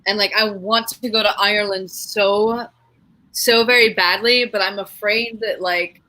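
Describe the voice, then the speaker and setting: A woman's voice: mid-range voice, steady tone, unhurried, pushed and bright, no echo, low-quality recording. A woman co-hosting a casual witchcraft livestream, rambling and self-deprecating with lots of fillers, on a webcam call from home. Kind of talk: casual group chat. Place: home room. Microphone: webcam on a video call.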